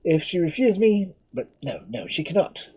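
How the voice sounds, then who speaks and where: A desperate, defiant young nobleman , acted by a man reading aloud, declaims loudly in a raised, swooping voice. The tone is breathy and mellow, with theatrical passion throughout. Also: on the high side, sing-song, moderate pace, no room sound, pitched above the performer's own voice, heavily acted. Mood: anguished. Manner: loud, declamatory and impassioned.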